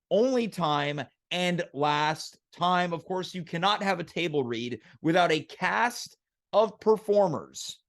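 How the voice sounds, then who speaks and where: Guy hosting a live online show, pretty high voice that swings all over, announcer style. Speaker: host.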